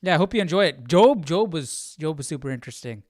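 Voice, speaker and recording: A man's voice: a little high, lively intonation, quick pace, no room sound, clean studio sound. A man hosting a livestream Bible study, talking informally and thinking aloud. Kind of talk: casual livestream chat and study commentary.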